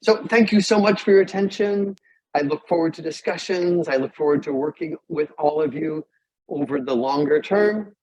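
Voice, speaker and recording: A man's voice: fairly high pitch, moderate pace, a little mellow. A man who is a native English speaker, lecturing as a psychology professor in plain, short, emphatic sentences. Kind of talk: academic lecture and discussion. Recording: dull, muffled lo-fi audio.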